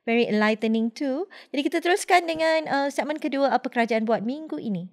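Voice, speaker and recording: A woman with a Malaysian accent hosting a podcast, lively and agreeable, mixing Malay and English. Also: high voice, fast talker, dry recording, clean studio sound. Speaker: podcast host. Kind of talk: podcast discussion. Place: podcast studio.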